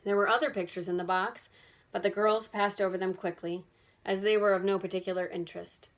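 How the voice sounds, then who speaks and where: A woman's voice: medium pitch, steady tone, fairly quick. A woman reading scripted narration from a children's mystery novel as an audiobook narrator.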